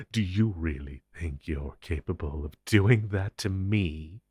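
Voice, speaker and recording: A man's voice: deep voice, darkish tone, breathy edge, no room sound, studio quality, full character voice. A man playing an ancient, possessive telepathic hive-mind entity in an intense audio roleplay, speaking huskily with theatrical, condescending deliberation. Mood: menacing intrusion.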